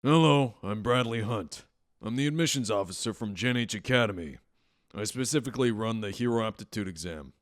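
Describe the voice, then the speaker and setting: Audio drama, male actor as blunt admissions officer, fairly low voice, dry, measured, authoritative. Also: animated, natural voice. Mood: dry, official. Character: stern, blunt academy admissions officer, grown man.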